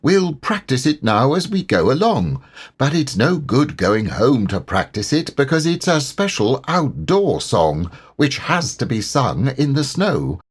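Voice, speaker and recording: A man voicing a cheerful, slow-witted storybook bear who talks slowly and gets muddled. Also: medium pitch, very animated, breathy edge, pitched above the performer's own voice.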